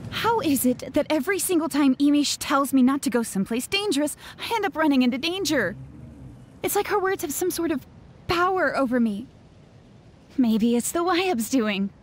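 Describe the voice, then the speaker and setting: A woman playing an excitable young villager in a video game, exclamatory and dramatic. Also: high-pitched, moderate pace, a little hiss or hum. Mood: dismayed. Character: excitable young villager, high-voiced and expressive. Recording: background music under the voice.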